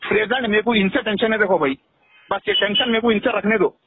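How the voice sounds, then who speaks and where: A man's voice: sits high, fairly even intonation, fast, slightly rough. A man with a Hyderabadi accent in a heated, insistent phone conversation, swearing and repeating himself. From Hyderabad, India. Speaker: caller. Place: telephone call, played in a news upload. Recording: tinny phone call recording.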